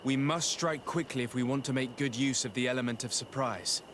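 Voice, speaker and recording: A man's voice: medium pitch, a bit bright, natural voice. A man playing a composed, formal noble diplomat. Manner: formal, measured. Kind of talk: video game voice acting. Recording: outdoor ambient noise behind voice.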